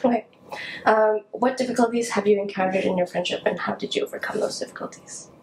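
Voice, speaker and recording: A woman interviewer asking soft questions from away from the microphone. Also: medium pitch, fairly even intonation, quick pace, a little hiss or hum. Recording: echoey room, off-mic.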